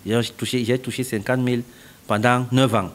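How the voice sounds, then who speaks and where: A man's voice: medium pitch, steady tone, normal speed. Man from Cameroon, middle-aged, interview answers, patient explaining, repeated phrases. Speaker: interviewee, company founder.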